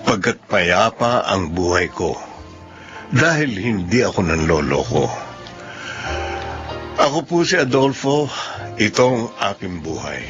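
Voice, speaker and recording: A man's voice: lowish voice, big pitch swings, slow pace, long pauses. A man playing a kindly, honest husband and father in a radio drama. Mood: calm, earnest. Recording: dull lo-fi audio. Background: music behind the voice.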